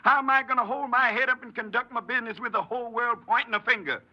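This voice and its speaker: A man's voice: very high voice, fast talker. An actor playing a stern, self-righteous older American father who preaches scripture in a 1950s radio drama. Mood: bitter, reproachful. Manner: preachy, reproachful, declamatory. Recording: dull lo-fi old radio broadcast.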